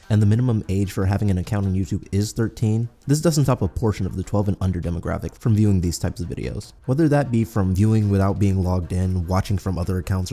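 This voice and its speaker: A man's voice: sits low, dark tone. A man reading scripted narration as the narrator of a video essay. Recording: background music under voice. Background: music.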